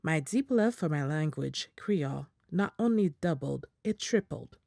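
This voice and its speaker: Low woman reading a statement out, very dry, formal and even, video call mic. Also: measured pace, vocal fry, dry recording. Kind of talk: reading a prepared statement aloud.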